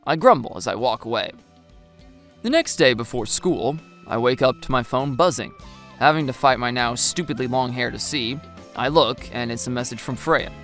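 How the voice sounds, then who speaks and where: A woman reading a first-person scripted story as an expressive narrator, in a close voice-over. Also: a bass voice, moderate pace, faint music behind.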